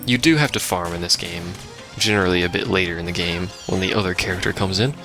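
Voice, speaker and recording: A man's voice: deep voice, fast talker. A man giving casual, rambling gameplay commentary with dryly sarcastic asides, as a let's play host. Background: game music and sounds.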